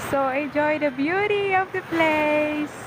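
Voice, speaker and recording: A woman with a Filipino accent and Tagalog as her first language hosts a casual outdoor live stream. Her voice is high and she speaks slowly, in halting, very grateful phrases. Clear background noise of the outdoors and traffic surrounds her. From Philippines. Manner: effusively thankful, halting English phrasing. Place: outdoors at a scenic spot.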